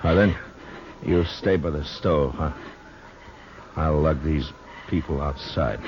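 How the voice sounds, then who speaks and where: An actor playing a stoic, plain-spoken frontier marshal in a radio western, laconic and sometimes halting. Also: male voice, extremely low pitch, background noise. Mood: brisk, concerned. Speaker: lead actor and narrator. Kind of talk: 1950s radio western acting. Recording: dull old radio recording with music.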